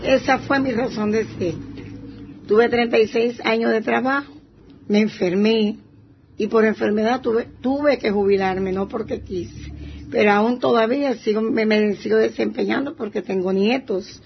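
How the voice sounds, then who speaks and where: A woman's voice: a little high, measured pace, creaky. Older eastern Cuban woman, muffled recording. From Santiago de Cuba province, Cuba. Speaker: retired primary school teacher, interviewee. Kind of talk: personal testimony. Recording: muffled, faint background noise.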